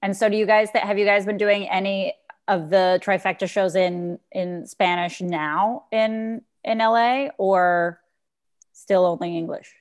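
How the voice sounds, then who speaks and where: A woman interviewer in casual chat, often giving short listening sounds and asking questions brightly. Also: mid-range voice, fairly even intonation, measured pace.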